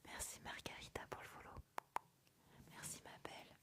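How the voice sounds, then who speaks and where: A woman's voice: moderate pace, sharp tone. A woman whispering as an ASMR streamer, chatting casually and answering viewer comments, rambling with many fillers. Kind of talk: whispered ASMR live chat.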